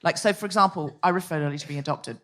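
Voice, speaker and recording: A woman's voice: low voice, very fast, fairly bright tone. A woman hosting a live comedy podcast on stage, articulate and playful, setting up the show's theme. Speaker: podcast host. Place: live theatre stage before an audience.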